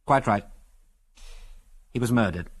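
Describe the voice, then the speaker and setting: A man's voice: mid-range voice, takes it slowly. A man playing a cool-headed, terse and commanding adventurer hero in an audio adventure story. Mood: grim. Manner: terse, authoritative.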